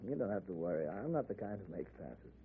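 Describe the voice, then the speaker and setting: Through a tinny, faintly noisy old radio recording comes a jovial American traveling salesman. His pitch swings freely as he chats in a folksy, hesitant way, in the manner of 1940s radio drama. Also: sing-song, quick pace. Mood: friendly, reassuring.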